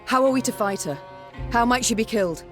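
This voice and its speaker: A woman's voice: on the high side, expressive, fairly quick, a bit bright. A proud queen from a fantasy video game. She questions in formal, commanding phrases with very varied intonation. Music plays behind her. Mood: curious, composed. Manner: formal, regal, pointed. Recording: background music under the dialogue.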